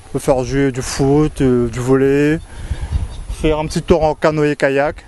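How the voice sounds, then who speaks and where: A man in his thirties from French Guiana, talking casually and calmly to the camera with frequent hesitations. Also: medium pitch, a little hiss or hum. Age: 30s. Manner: calm, unhurried, with hesitations.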